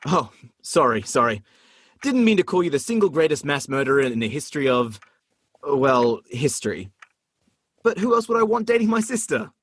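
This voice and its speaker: A man's voice: a little high, very animated, normal speed, a bit bright, slightly rough, dry recording, heavily acted. An actor in a radio play playing a sardonic, protective brother in his twenties, theatrical and easily flustered. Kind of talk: radio play comedy acting.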